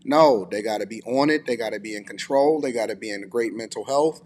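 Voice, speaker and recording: A man's voice: lowish voice, a little fast, darkish tone. An American man hosting, giving casual, opinionated commentary in an emphatic, conversational way that repeats points for stress. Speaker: commentator, host.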